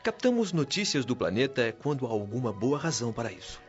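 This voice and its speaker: Man acting as an earnest, animated Brazilian spirit mentor. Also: mid-range voice, a little fast, close to an everyday voice. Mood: instructive. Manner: didactic, earnest, formal. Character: wise, patient male spirit mentor of a heavenly colony. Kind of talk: radio drama acting. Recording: dull lo-fi audio with music underneath.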